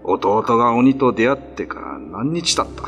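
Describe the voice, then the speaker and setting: A man acting a renowned, dignified Ryukyuan lord and demon slayer in a radio drama, speaking gravely in old-fashioned, commanding language. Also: medium pitch, animated, a little slow, over music, lightly acted.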